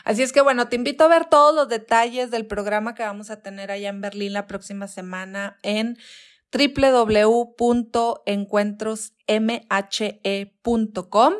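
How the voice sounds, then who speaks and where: A woman's voice: fairly high pitch, moderate pace. A woman speaking as a radio guest, promoting an upcoming event in an upbeat, inviting way and addressing listeners directly.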